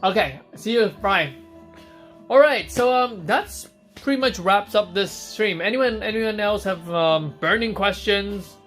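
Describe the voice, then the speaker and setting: Male stream host from Hong Kong, somewhat high voice, casual chat answering viewer questions, faint music behind. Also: measured pace, a bit bright. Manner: chatty, reads out viewer questions and answers them on the spot.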